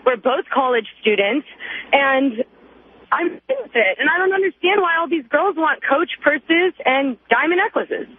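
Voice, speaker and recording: A woman in her twenties calls in to a radio show with a high voice. She talks casually over a muffled phone line with faint noise behind her. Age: 20s.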